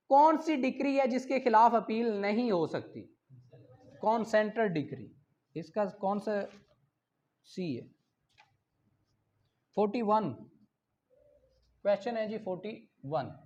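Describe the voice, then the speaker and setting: A man with a Pakistani accent teaching an online law class, reading English questions slowly, explaining them in Urdu and calling on students by name. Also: high voice, a little mellow, highs cut off. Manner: calls on students by name, brisk and encouraging.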